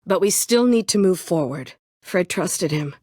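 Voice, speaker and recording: A woman's voice: fairly low pitch, lively intonation, a little slow, darkish tone, no room sound, clean studio sound. An actress playing a bitter, world-weary woman survivor set on vengeance in a story video game, brooding, curt and dryly sardonic. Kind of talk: dramatic video game voice acting.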